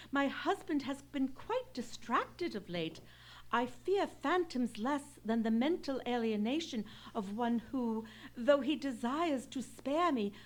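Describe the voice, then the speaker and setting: A woman playing an anxious, eloquent English aristocratic lady in an old-time radio play, speaking in formal, theatrical period diction. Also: sits high. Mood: anxious, pleading.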